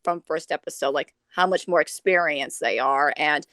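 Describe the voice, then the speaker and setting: A woman's voice: sits low, moderate pace, a bit bright, no echo, clean studio sound. A woman chatting casually as a guest, searching for words as she speaks. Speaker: cast member, guest. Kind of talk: casual discussion. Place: remote online voice call.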